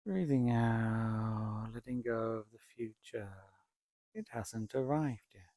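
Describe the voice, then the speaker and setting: A man leading a guided meditation, speaking calmly and deliberately in short phrases with long pauses between them. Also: mid-range voice, dry recording, studio quality. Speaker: meditation teacher, Buddhist monk.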